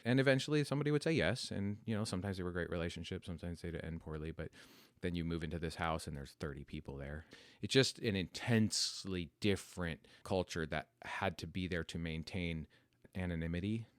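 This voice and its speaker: Podcast host, male, 40s, American. Very deep voice recorded dry, thinking aloud through a personal story. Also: brisk, clear, resonant tone, no echo. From United States. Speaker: podcast host telling their life story. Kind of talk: reflective, unscripted personal storytelling.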